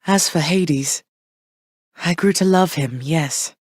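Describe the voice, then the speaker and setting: An actress voicing Persephone, a gentle, wistful queen of the underworld and a mother, in soft, measured, tender tones. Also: deep voice, husky, dry recording, clean studio sound, heavily acted. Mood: warm. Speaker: game character. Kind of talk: game voice lines.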